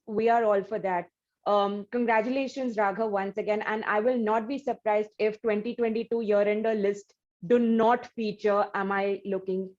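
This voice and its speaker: A woman's voice: fairly high pitch, darkish tone, highs cut off. A woman hosting an interview, asking long, rambling questions full of "uh" and "you know". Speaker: interview host. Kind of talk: casual interview talk.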